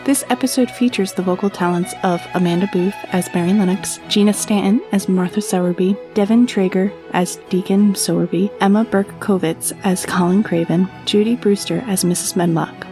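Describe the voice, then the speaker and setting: A woman announcer reads scripted production credits. Her pitch is mid-range, and music plays clearly behind her. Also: steady tone, moderate pace, few pauses, warm tone.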